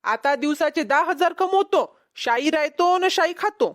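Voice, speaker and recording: A woman's voice: sits high, lively intonation, moderate pace. A woman voicing a boastful, haggling young male street peddler in a cartoon comedy, with a Vidarbha Marathi accent. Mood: indignant, boastful. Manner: cocky, bargaining.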